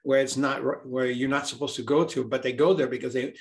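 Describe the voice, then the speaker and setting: A man's voice: medium pitch, steady tone, brisk. A man from Hawaii, a healer speaking as an interview guest, talking earnestly and reflectively, often repeating words as he searches for them.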